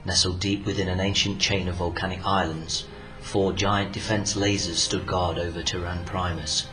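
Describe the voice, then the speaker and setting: A man narrating a scripted science fiction story in a solemn, measured, dramatic storytelling manner. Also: low-pitched, level tone, low-quality recording. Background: loud music.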